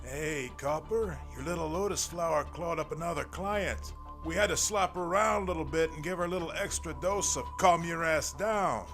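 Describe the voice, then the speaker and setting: A man voicing a callous armed thug in an audio drama, taunting with drawn-out menace. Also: a little high, fairly bright tone, faint music behind, voice pushed up. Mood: jeering, menacing.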